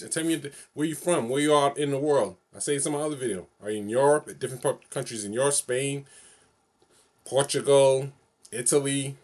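A man, vlogging casually to camera from his bedroom, repeating and circling back over the same phrases. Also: medium pitch. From New York City. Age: 40s. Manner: repetitive, rambling, loops over the same phrases. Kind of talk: casual solo vlog to camera. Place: small bedroom, indoors.

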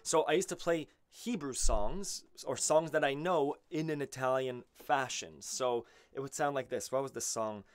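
A man's voice: medium pitch, moderate pace, few pauses, soft background music. A man in his thirties, an accordion teacher, chatting casually and animatedly on a live stream and often correcting himself. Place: small home studio, indoors. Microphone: studio mic on a stand. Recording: accordion playing beneath the voice. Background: his own accordion playing.

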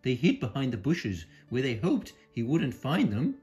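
A woman's voice: a bass voice, wildly swooping pitch, normal speed, dark tone, faint music behind. A woman narrating a children's picture book as a storyteller. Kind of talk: children's read-aloud narration.

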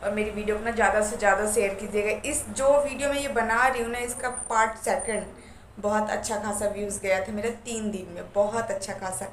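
A woman's voice: medium pitch, steady tone, a little fast. A young woman vlogger with a sore throat, chatting and apologizing directly to her viewers from a small room at home. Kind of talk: casual chat to camera. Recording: echoey room, faint background noise.

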